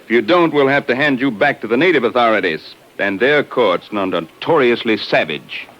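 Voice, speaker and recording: Stern, expressive colonel played by a man, muffled. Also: medium pitch, a crowd in the background, natural voice. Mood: stern, skeptical. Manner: official, measured, warning. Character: stern, by-the-book male garrison colonel. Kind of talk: 1950s radio drama acting. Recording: muffled old radio recording.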